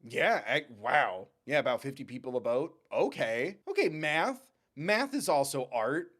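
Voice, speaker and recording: A man talks as the host of a reaction show, at an ordinary middle pitch. His commentary is casual and excited, full of playful, expressive asides. He often breaks off his own thought to throw in another remark. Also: measured pace.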